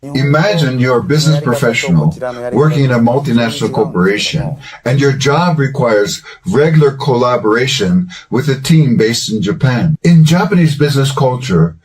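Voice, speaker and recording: A man's voice: mid-range voice, animated, normal speed, low-quality recording. Earnest older man giving language advice. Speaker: language-learning channel host. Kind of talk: scripted advice to camera.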